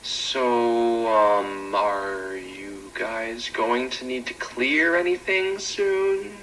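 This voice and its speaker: Awkward, slow stammering space technician, played by a man. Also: mid-range voice, a bit bright, distant mic, soft background music, poor audio. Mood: awkward, eager. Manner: nervous, stammering, hesitant. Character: awkward, eager, boyish male space defense technician. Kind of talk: analog horror space audio drama.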